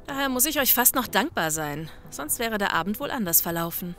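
Female investigator, played by an actress: sharp-witted, confident, matter-of-fact, mid-range voice, comedy radio play. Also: medium pitch, animated, fairly quick, soft background music, close to an everyday voice. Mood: confident, dismissive. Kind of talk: German comedy audio drama acting.

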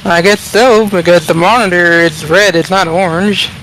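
A man with a high-pitched voice talks casually while gaming with others. He is matter-of-fact, narrating his own moves. There is faint noise behind him. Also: steady tone, normal speed, fairly bright tone, poor audio. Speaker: gamer in a group online horror game.

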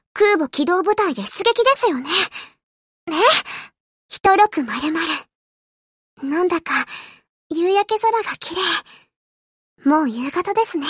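A woman voicing a gentle, eager young ship girl in an anime game, sweet, polite and earnest, a little hesitant. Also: very high voice, dry recording, studio quality, heavily acted. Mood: hesitant, hopeful, then gentle, homely. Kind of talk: Japanese anime game character voice lines.

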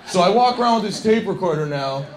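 A man's voice: high-pitched, animated, quick pace, background noise. A young man from Scarborough doing a self-deprecating, rambling stand-up set on a club stage with a handheld mic. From Scarborough, Canada. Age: young adult. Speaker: stand-up comedian. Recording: echoey club with loud laughing crowd. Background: audience laughter.

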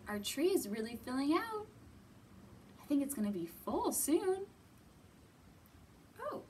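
Woman, thirties, kids' storytime host. High, animated voice, simple teacherly phrasing, faint camera-distance room sound with some noise. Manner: simple questions to small children, drawn-out words, thoughtful hums. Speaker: children's librarian and storytime host. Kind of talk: children's storytime teaching, read-aloud. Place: indoors in a library.

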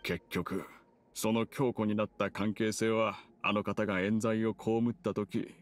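An actor playing a calm, formal, loyal aide in a Japanese video game. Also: male voice, on the low side, unhurried, natural voice. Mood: grave. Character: composed, polite male aide, steady and loyal.

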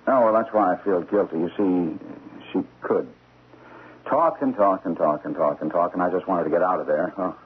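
An actor playing a deceitful, self-important stockbroker with debonair airs in a radio drama. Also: male voice, low-pitched, normal speed, lightly acted. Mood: feigned regret. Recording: tinny, noisy old radio recording.

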